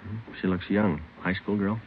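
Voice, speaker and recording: An actor playing a weary, terse police sergeant in a deadpan, clipped 1950s radio drama style. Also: male voice, sits low, normal speed, lightly acted. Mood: flat, terse. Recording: old radio recording, narrow band, hissy.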